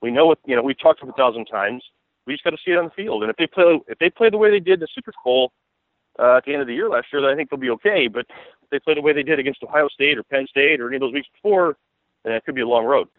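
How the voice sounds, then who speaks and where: An American man talks sports as an interview guest, at a rapid clip. He rambles and repeats himself for emphasis in a mid-pitched voice, heard over a narrow phone line. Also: animated, no room sound. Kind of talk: conversational sports analysis.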